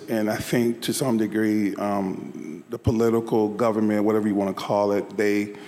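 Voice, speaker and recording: A man from the rural South speaks loudly and earnestly as a panel guest. He often repeats words as he builds his point. His voice carries in a hall with long reverb. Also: fairly low pitch. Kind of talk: panel discussion.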